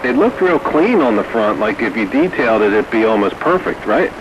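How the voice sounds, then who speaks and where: A man's voice: medium pitch, big pitch swings, a little fast. A man in casual conversation over two-way radio, remarking on signal and equipment as a fellow hobbyist. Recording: muffled, noisy two-way radio transmission.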